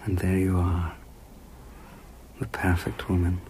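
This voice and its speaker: A wandering storyteller in an intimate radio drama. His voice is fairly low, soft and earnest, with a seductive air, over slight ambient noise. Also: completely flat delivery, takes it slowly. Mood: adoring. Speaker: actor.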